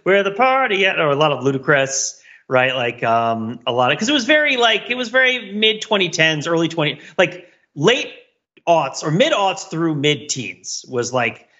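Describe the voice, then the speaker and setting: Bright-voiced man on a slightly dull mic. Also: on the high side, very animated, normal speed. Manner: musing, thinking aloud. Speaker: podcast co-host. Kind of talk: casual podcast chat.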